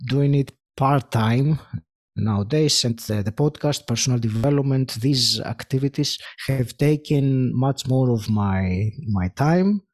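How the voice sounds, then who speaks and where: A middle-aged man from Greece, a dentist and podcaster, answering interview questions reflectively and searching for words with many pauses and fillers. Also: medium pitch, darkish tone. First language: Greek.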